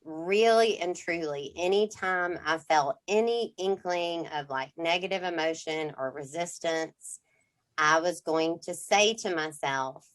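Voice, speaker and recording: A woman's voice: lowish voice, measured pace. A middle-aged woman co-hosting a podcast, telling a personal story in casual chat and acting out what people said. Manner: storytelling, acts out quotes, restarts sentences. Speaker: podcast co-host.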